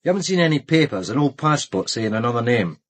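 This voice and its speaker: A man's voice: medium pitch, very animated, fast talker. A man with a Scottish accent playing a dry, dogged police detective in a naturalistic radio crime drama. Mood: firm. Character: male police detective, dry, dogged, deferential to his boss.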